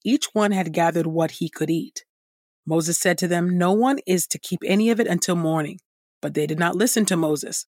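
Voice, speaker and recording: A woman's voice: fairly low pitch, normal speed, darkish tone, no room sound. Woman reading scripture in a dry studio. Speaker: scripture reader and podcast host. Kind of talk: measured scripted Bible reading.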